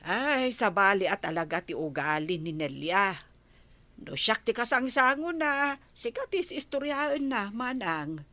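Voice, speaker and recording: A woman's voice: medium pitch, sing-song, a little slow, sharp tone, dry recording. A woman playing a nosy, irritable village wife who nags and gossips, in a radio drama with an Ilocano accent. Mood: gossipy. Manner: nagging, exclamatory, gossipy. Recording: noticeable background noise.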